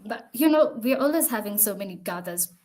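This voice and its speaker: A woman hosts a panel discussion over a video call, her voice sitting at an ordinary middle pitch. She speaks in an encouraging way and steers the talk with short prompts to each guest. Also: expressive, brisk, darkish tone. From Kenya. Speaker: panel moderator. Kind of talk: moderating an online panel.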